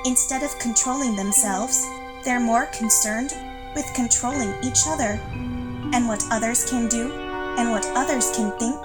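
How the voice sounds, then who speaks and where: A woman playing an earnest, dismayed alien underling in a satirical sci-fi audio play, with a deliberate, dramatic delivery. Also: on the high side, natural voice. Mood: dismayed, grave. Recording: loud music behind the voice.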